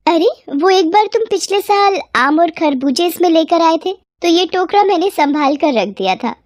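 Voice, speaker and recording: A woman voicing a caring, practical wife of a poor vendor in an animated moral story, gentle and reassuring. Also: very high voice, moderate pace, no echo, clean studio sound, pitched above the performer's own voice.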